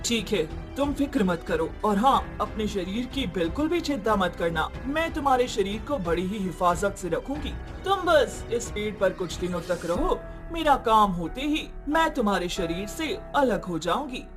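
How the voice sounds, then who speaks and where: A woman voicing a scheming sorceress with a persuasive, reassuring manner in an animated story. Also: mid-range voice, normal speed, hardly any pauses, music in the background, lightly acted. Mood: falsely reassuring. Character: scheming wounded sorceress seeking another woman's body. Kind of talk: Hindi animated moral story acting.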